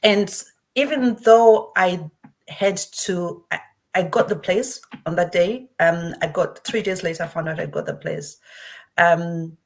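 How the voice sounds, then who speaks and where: A woman's voice: fairly low pitch, measured pace. A middle-aged woman from Zimbabwe telling her own story in earnest, with frequent restarts. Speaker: guest speaker. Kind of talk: personal testimony.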